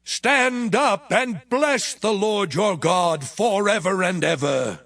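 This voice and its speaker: A man's voice: sits high, lively intonation, slow, fairly bright tone, exaggerated voice. A man playing a reverent Levite leading public prayer in a solemn, declamatory dramatised scripture recitation. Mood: reverent praise.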